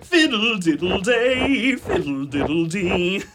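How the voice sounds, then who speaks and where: High, madcap singsong court fool. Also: male voice, big pitch swings, slow pace, heavy background noise, full character voice. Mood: manic glee. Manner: singsong, theatrical. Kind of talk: mock-Shakespearean radio drama acting.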